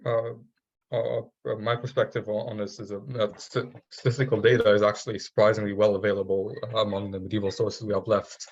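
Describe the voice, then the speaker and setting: A man speaking as a panelist historian, halting with many restarts. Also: fairly low pitch, flat delivery, slightly rough. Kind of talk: academic discussion. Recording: dull lo-fi audio.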